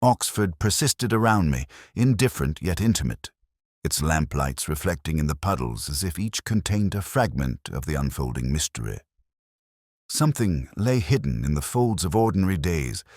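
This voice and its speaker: A man reading a scripted first-person detective story in a measured, reflective, literary manner. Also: rumbling low, no room sound, studio quality. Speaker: audiobook-style story narrator.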